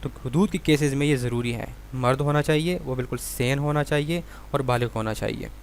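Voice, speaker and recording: Low-pitched Pakistani man lecturing, noisy recording. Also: fairly quick, gravelly. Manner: didactic, repeats and rephrases points. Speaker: law exam tutor. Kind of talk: explanatory lecture, reading questions then explaining them.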